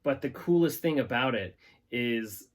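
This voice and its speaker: A man with a medium-pitched voice presents as the host of a hobby channel. He speaks directly to his viewers, moving between informal explanation and reading aloud from a text. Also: lively intonation, measured pace. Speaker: host of a reptile-keeping channel. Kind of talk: casual talk to viewers and reading a scientific paper aloud.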